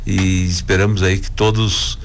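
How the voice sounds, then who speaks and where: A man who is president of an Italian cultural club, speaking as a radio guest and explaining plans hesitantly, with many pauses and restarts. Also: low-pitched, flat delivery, gravelly, slight background noise.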